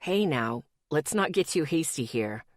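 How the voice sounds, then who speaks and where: A woman voicing a cocky, teasing young boyfriend with a smug, slow drawl, her voice pitched down for him. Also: animated, no room sound, clean studio sound.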